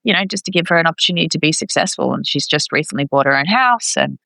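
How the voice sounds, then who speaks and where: Bright-voiced, chatty woman in close sound. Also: sits low, fast talker, creaky, no echo. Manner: chatty, expressive, acts out reported dialogue. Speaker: podcast guest, expat parent. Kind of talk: casual conversational interview.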